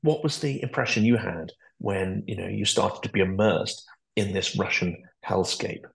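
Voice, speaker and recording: A middle-aged man hosts an interview over a video call. His voice is low and gravelly, with a lot of fry. He asks long questions in a measured, articulate way. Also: low-quality recording. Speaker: podcast host. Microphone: video call webcam.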